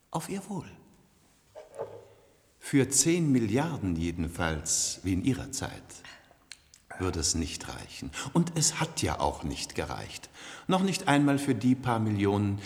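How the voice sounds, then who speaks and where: An actor playing a suave, condescending ruler of a future society in a German radio play, smug and theatrically drawn out. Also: male voice, on the low side, darkish tone, full character voice. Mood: smug hospitality. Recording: long hall reverb.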